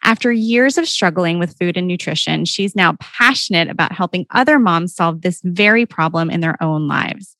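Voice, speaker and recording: A woman's voice: lowish voice, expressive, moderate pace, few pauses, a bit bright, dry recording. A woman hosting a parenting podcast, bubbly and enthusiastic, with a soothing voice. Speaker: podcast host.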